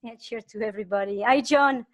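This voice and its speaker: A woman's voice: fairly high pitch, a little fast. A woman, a native French speaker with a French accent, casually asking a question in a meeting. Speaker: audience member asking a question.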